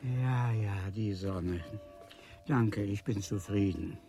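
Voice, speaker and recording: A man playing an absent-minded, courteous old lawyer in a German radio drama, halting and repeating himself. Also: fairly low pitch, dark tone, soft background music. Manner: halting, repetitive.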